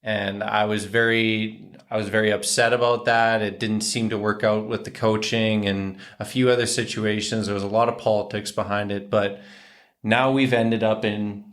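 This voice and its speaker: A man in his twenties with a lowish voice talks at a desk microphone in a small room indoors. He jokes through a couple's Q&A in a relaxed, matter-of-fact way. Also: normal speed. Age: 20s. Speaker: co-host, hockey player. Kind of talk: casual couple Q&A chat.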